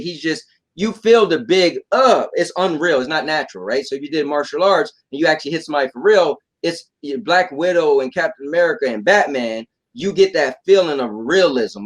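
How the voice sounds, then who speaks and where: A man chatting casually as a panelist, rambling and repeating himself, often checking in with the listener. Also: a little high. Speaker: talk show panelist. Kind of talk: casual chat about games and films.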